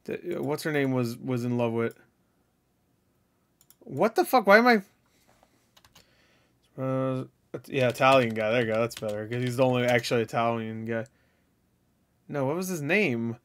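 A man's voice: mid-range voice, expressive, normal speed, long pauses. A man giving casual reaction commentary as a host, with slangy, jokey, sweary talk.

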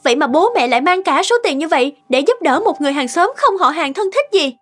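A woman's voice: high voice, expressive, quick pace, a bit bright. A woman playing an anxious, insistent middle-aged daughter and mother in a cartoon, fretful and questioning. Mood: indignant. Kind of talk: cartoon dialogue acting.

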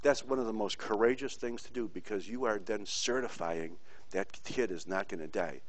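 A man's voice: fairly low pitch, normal speed. A middle-aged man giving an informal online pathology lecture, rambling through stories and speaking bluntly. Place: teaching from Puerto Rico.

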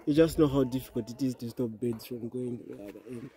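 Male farm guide, medium pitch, informal and slightly hesitant, clear outdoor noise with birds. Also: steady tone, fast talker. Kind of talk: casual explanatory chat. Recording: noisy outdoor farm recording. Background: birds, chickens, outdoor noise.

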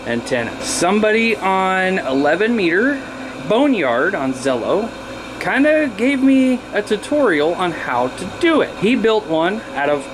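A man's voice: sits high, big pitch swings, a little slow, noisy background. An American man in his thirties chatting informally and upbeat in a rambling hands-on video blog, recorded on a handheld camera in a garage workshop. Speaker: video blogger and CB radio hobbyist. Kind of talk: casual hands-on vlog chat. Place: cluttered indoor garage workshop. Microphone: handheld camera at arm's length.

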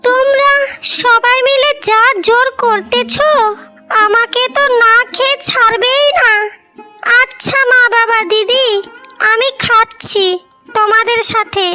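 A woman plays an affectionate young sister in a cartoon fairy tale. Her voice is extremely high and bright, with an earnest, pleading delivery over music. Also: fairly even intonation, slow, exaggerated voice. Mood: reluctant. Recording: music bed behind the voice.

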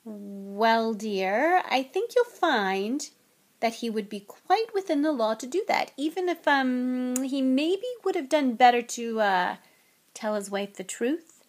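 A woman's voice: a little high, lively intonation, moderate pace, close to an everyday voice. A woman voicing a mild, tired middle-aged father in a storybook, with a hesitant, mumbling manner. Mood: sheepish, hesitant. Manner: hesitant, stammering, sighing, mumbling.

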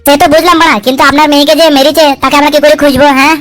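Casting: woman playing cheeky male sidekick, comedy cartoon. Very high, racing delivery, sly, swearing, argumentative. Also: steady tone, a bit bright, soft background music. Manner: chattering, argumentative, coarse, quick with excuses. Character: wily, cheeky male sidekick and schemer, foul-mouthed. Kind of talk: comic cartoon dub dialogue.

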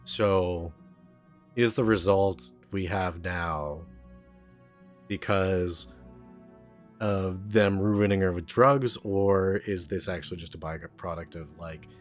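A man with a fairly low voice co-hosts a casual film podcast. He muses in halting phrases, with faint music in the background. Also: fairly even intonation. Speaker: podcast co-host.

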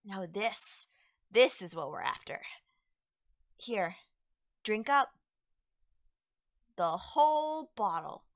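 Young female witch, played by a woman, coy, teasing, soothing, very animated intonation, conversational roleplay, dry close sound. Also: medium pitch, takes it slowly, long pauses, no room sound. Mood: coaxing, reassuring. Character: playful, teasing young female forest witch, gently reassuring. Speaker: roleplay voice actor. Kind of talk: ASMR audio roleplay, addressed to the listener.

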